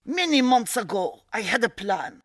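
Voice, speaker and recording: A woman playing a regal, gloating villain queen with a measured, scheming manner in a radio serial parody. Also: fairly high pitch, big pitch swings, no room sound, studio quality.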